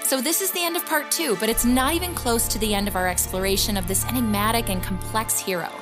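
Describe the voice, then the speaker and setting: A woman playing an earnest investigative podcast host, narrating a scripted documentary in a measured storytelling way. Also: fairly high pitch, music in the background, close to an everyday voice. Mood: intrigued, earnest. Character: earnest female investigative podcast host recounting a hero's cases.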